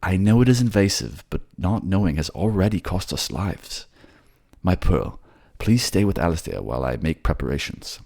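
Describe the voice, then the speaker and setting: Man acting a firm prince, raised pitch. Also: animated, normal speed, gravelly, darkish tone, husky, exaggerated voice. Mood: urgent, resolute. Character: resolute, protective young prince, commanding and earnest. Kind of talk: fantasy audio drama acting.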